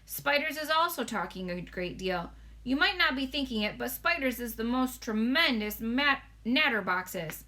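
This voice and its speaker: A woman's voice: a little high, expressive, moderate pace, pushed and bright. A woman voicing an earnest, touchy giant man in a storybook, speaking emphatically with folksy, muddled grammar. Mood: excited. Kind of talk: storybook read-aloud character voice.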